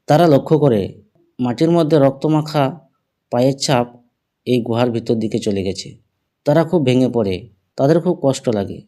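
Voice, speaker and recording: A man's voice: medium pitch, mellow. A man narrating a scripted cartoon fable as the storyteller, with music behind him.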